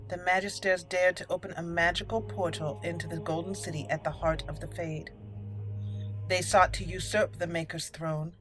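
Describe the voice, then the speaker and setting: A woman hosting a roleplay game playthrough, speaking in a deliberate storyteller manner. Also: fairly low pitch, low-quality recording. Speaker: gaming channel host. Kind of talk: roleplay game commentary and dramatic lore reading. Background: faint game music.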